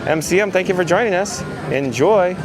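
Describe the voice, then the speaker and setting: Hoarse, raspy man live-streaming outdoors. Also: high-pitched, moderate pace. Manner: reads viewer comments aloud, chatty and upbeat. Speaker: vlogger. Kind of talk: live-stream chat reading. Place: outdoors at a theme park. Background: music.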